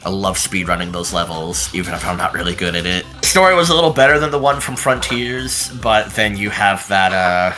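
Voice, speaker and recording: A man's voice: low-pitched, expressive, a little fast, few pauses, pushed and bright, soft background music. A man hosting an informal, casual opinion chat, talking loosely with a co-host. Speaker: channel host.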